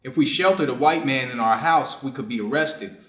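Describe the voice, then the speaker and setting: A middle-aged Japanese surgeon, read by a man in a staged story. He speaks formally and deliberately, reflective and clinical yet conflicted. The room adds a clear echo around the voice. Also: medium pitch, a bit bright, close to an everyday voice. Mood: clinical concern. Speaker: reader's theater performer. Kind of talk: staged reading of a short story, measured delivery. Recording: echoey room.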